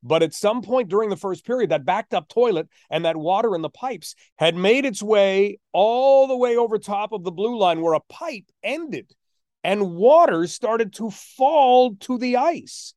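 A man hosting a sports podcast, telling stories conversationally with a deliberate, emphatic build-up. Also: high voice, animated, a little mellow, no room sound, studio quality. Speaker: podcast host and sports announcer.

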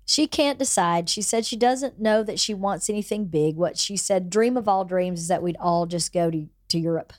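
A woman's voice: on the low side, moderate pace, hardly any pauses, a little mellow, no room sound. A chatty woman hosting a podcast, talking frankly and swearing now and then. She has a cold and her voice is wearing thin. The sound is dry and close, with no room around it. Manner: chatty, candid, swears casually. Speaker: podcast host. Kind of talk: casual chat between friends.

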